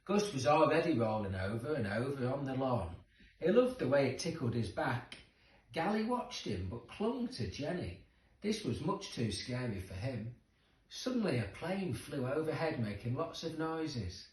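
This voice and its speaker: A man's voice: medium pitch, big pitch swings, moderate pace. A man narrating a children's story expressively, with dramatic emphasis for young listeners. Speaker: storybook reader. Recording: roomy echo, distant microphone.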